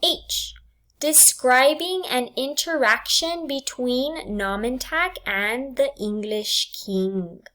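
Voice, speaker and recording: A woman teacher reading test questions and answer choices aloud in a deliberate, enunciated way for students. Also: high-pitched, fairly bright tone. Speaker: English language arts teacher.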